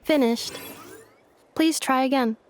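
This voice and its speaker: A woman's voice: high-pitched, takes it slowly, a little hiss or hum. A woman voicing a stoic female combat android in an English game dub, with clipped, formal, literal announcements. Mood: analytical.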